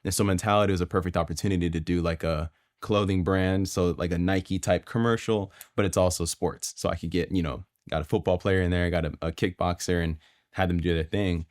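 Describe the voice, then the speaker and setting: A young man from Florida, a guest videographer telling his story in a casual podcast chat, relaxed and filler-heavy. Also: low voice, fairly quick, no room sound, clean studio sound.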